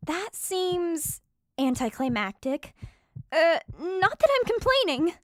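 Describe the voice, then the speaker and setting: High-voiced woman as a practical fantasy healer. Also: sing-song, slow pace, no room sound, clean studio sound, exaggerated voice. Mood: wry. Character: female healer, practical, caring, slightly wry. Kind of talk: fantasy audio drama acting.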